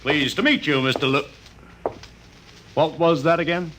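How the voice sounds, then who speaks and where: An actor playing a brisk, wisecracking advertising boss in a radio drama, with breezy, slangy, wry delivery. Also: male voice, on the high side, a bit bright. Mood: wry, amused. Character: brisk, wisecracking, money-minded male advertising agency boss. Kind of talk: old-time radio drama acting. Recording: noisy old radio recording.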